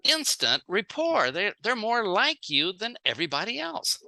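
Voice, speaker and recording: Very bright, animated seminar speaker. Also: mid-range voice, unhurried, vocal fry. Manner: plain-spoken, acts out short example dialogues. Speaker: speaker giving a sales training talk. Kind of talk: seminar talk in short phrases, paused for an interpreter.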